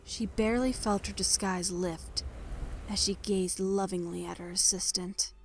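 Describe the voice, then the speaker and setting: A woman reading a scripted dramatic story as narrator, measured and expressive in her delivery. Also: mid-range voice, faint music behind, rough recording.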